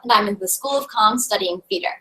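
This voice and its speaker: Young woman, mid-pitched, earnest reassuring student panelist. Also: quick pace, a bit bright, rough recording. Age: young adult. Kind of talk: casual video call chat.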